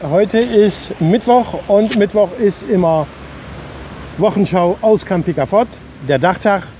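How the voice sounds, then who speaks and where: A man's voice: high voice, a little slow, dark tone. A man in his fifties from Germany, chatting casually to his viewers as host of a vlog, recorded outdoors at arm's length. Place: outdoors on a beach. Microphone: phone or camera at arm's length. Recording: dull lo-fi sound, outdoor wind or ambient noise.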